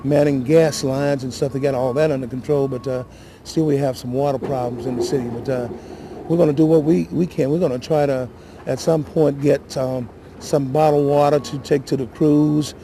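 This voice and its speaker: A shaken, weary man speaking haltingly and earnestly in a live news interview, often repeating himself. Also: medium pitch, steady tone, creaky, background noise. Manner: halting, repetitive, emotional and earnest. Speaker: city councilman giving interview.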